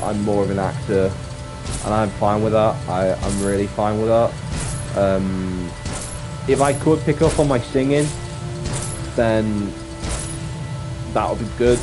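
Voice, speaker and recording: A man with a deep voice chatting casually on a livestream, rambling reflectively and often restating his phrases. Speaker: streamer and actor. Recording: music playing behind the voice. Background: clear background music.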